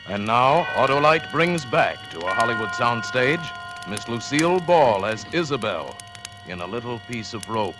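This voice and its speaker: A man's voice: mid-range voice, sing-song, moderate pace. A man announcing a show in dramatic 1940s radio style. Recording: vintage radio recording, loud music.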